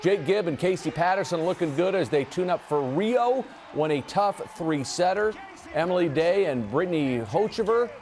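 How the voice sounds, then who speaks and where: An American man with a fairly high voice anchors a television sportscast. He narrates highlights from a script in short, punchy phrases. His delivery is playful and built for broadcast. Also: darkish tone.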